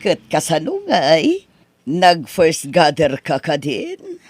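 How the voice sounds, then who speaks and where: A man's voice: on the high side, wildly swooping pitch, slow, husky, dry recording, heavily acted. A man voicing Aunt Teresa, a stern older woman who lends money, scolding and disappointed in a radio drama.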